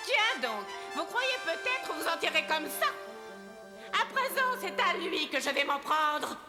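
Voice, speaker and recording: A woman's voice: very high voice, very animated, unhurried, loud music behind the voice, exaggerated voice. A woman voicing a scheming, spiteful mouse queen in a French-dubbed cartoon, menacing and theatrical.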